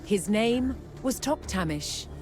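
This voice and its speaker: A woman delivering scripted documentary-style narration with measured, dramatic storytelling and deliberate phrasing. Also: medium pitch, animated. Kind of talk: scripted documentary narration for video game cutscenes. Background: prominent background music.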